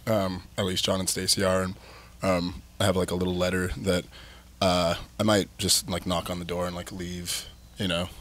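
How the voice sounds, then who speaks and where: A man's voice: low-pitched, level tone, moderate pace, a bit bright. A man in his thirties telling his band's story in a candid, rambling way, filled with "like" and "um". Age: 30s. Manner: rambling, heavy use of "like" and "um", candid. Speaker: band frontman, guest. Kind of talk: casual chat.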